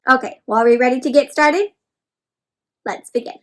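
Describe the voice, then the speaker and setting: High-voiced woman reading aloud to children. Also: normal speed. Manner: expressive, instructive, addresses listeners as friends with frequent questions. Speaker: children's read-aloud host and teacher. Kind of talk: interactive picture-book read-aloud for children, asking questions.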